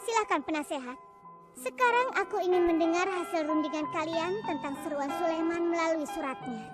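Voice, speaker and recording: A woman voicing a proud, commanding young queen in an animated cartoon, speaking in an imperious, scolding manner. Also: very high voice, fairly quick. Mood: regal. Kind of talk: cartoon voice acting. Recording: loud background music.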